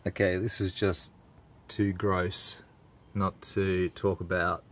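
A man's voice: low voice, level tone, slow, a bit bright. A man in his forties with an Australian accent, talking casually and unscripted as a laid-back, colloquial vlogger. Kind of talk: casual, unscripted vlog talk.

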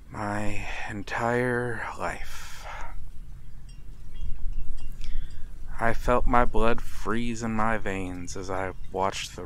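A man playing a brooding, courteous vampire with quiet menace in a soft-spoken, theatrical ASMR roleplay, dropping into whispers. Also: lowish voice. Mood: bitter grief. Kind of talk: ASMR roleplay, dramatic monologue.

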